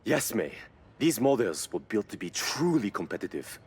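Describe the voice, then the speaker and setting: Male car mechanic, game cutscene, earnest, admiring, husky, animated melody. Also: fairly low pitch, normal speed, heavily acted. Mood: awed, nostalgic. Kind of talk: video game cutscene voice acting.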